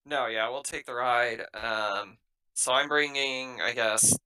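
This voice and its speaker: Very bright-voiced man chatting at game table. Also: mid-range voice, slow pace, clean tone, low-quality recording. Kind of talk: casual game chat.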